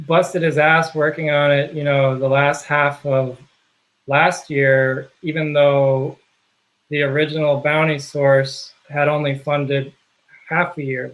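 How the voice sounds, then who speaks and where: Halting man, slightly high voice. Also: a bit bright, rough recording. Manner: halting, many fillers and restarts. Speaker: guest, software project contributor. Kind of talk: casual interview conversation. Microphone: video call.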